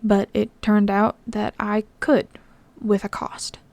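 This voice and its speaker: A woman voicing an earnest young half-ghost man who explains himself slowly and gently in a storybook reading. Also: mid-range voice, flat delivery, dry recording. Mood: grave, regretful.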